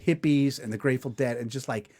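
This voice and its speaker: A man in his forties hosting a casual comedic podcast chat, telling stories animatedly and doing quick voices. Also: mid-range voice. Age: 40s. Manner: animated storyteller, puts on voices. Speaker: podcast co-host.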